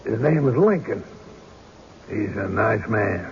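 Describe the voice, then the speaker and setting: A man's voice: fairly low pitch, animated, slow. An actor playing a plain-spoken, practical small-town man in old-time radio drama, matter-of-fact and skeptical. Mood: reassuring, dry. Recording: dull radio recording, faint noise.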